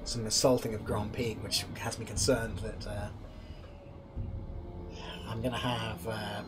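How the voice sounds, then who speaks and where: A hoarse man, thinking aloud as he plays through a strategy game, hesitant and full of ums. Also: fairly low pitch, little pitch movement, measured pace. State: hoarse from being unwell. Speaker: gameplay commentator. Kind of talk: casual let's-play commentary. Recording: music playing behind the voice.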